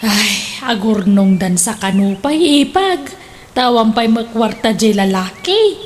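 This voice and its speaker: Meek pious mother, very high voice, Ilocano accent, radio drama, faint background noise. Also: animated, slow pace. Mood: helpless, defensive.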